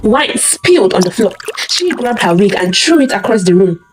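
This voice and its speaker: A woman's voice: mid-range voice, sing-song, normal speed, faint music behind. A woman telling a scripted dramatic story as narrator, theatrical and suspenseful, in short punchy phrases.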